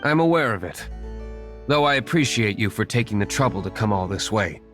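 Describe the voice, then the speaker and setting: An actor playing a cool, guarded young aristocratic vigilante in a video game, measured and matter-of-fact. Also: male voice, on the low side, expressive, music in the background, lightly acted.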